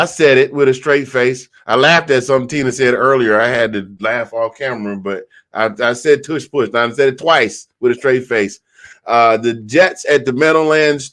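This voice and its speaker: A man's voice: medium pitch, fairly bright tone. A man hosting a casual football panel show, joking and self-deprecating, with a slight stutter. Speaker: show host. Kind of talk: casual sports panel chat.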